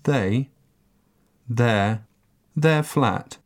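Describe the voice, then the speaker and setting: A man narrating a scripted language course recording, enunciating each word carefully and deliberately. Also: medium pitch. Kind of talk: scripted language-lesson reading.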